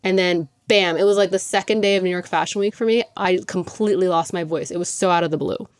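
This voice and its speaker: A young woman hosts her podcast in a chatty, gushing way, leaning on "like" as she goes. Her voice sits a little low and sounds smooth and clear. It is recorded dry and close on a podcast mic. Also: fast, no room sound. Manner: chatty and gushing, heavy use of "like". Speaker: podcast host. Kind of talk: casual solo podcast chat.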